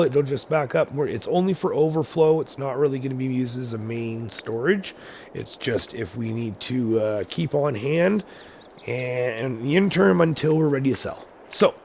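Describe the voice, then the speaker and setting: A man with a gravelly, mid-pitched voice walks through his game farm in a relaxed, rambling way. He often corrects himself mid-sentence and sounds a little raspy from chest congestion. A faint hiss sits under his voice. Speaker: gaming channel host. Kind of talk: casual game map tour commentary.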